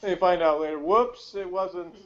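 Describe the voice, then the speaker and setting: A man co-hosting a casual review chat about TV, talking in a halting, rambling way with frequent self-interruptions. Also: high-pitched, fairly bright tone, dull audio. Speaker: podcast co-host.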